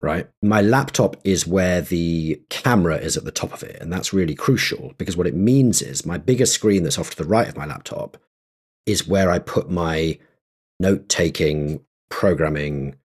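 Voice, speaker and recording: A man co-hosting a podcast in casual conversation about tech, agreeable but measured in how he puts things. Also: low voice, expressive, darkish tone, slightly rough. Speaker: podcast co-host.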